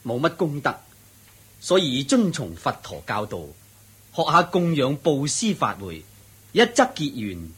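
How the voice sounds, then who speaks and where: A man with a Hong Kong Cantonese accent playing a wealthy, pious, courteous householder in a radio drama, in a husky voice. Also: fairly high pitch, big pitch swings, a bit bright, full character voice. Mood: courteous, humble. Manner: courteous, measured.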